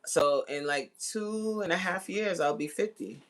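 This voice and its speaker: A woman's voice: lowish voice, measured pace. A woman in her forties chatting casually with live viewers as host, slangy, teasing and giggly in manner. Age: 40s. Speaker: livestream host. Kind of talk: casual chat with live viewers reading comments.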